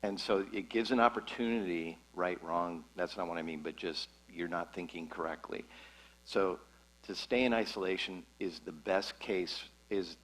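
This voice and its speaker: A man's voice: low voice, moderate pace, a bit bright, low-quality recording. A man hosting a church conversation as pastor, casual and self-correcting as he talks.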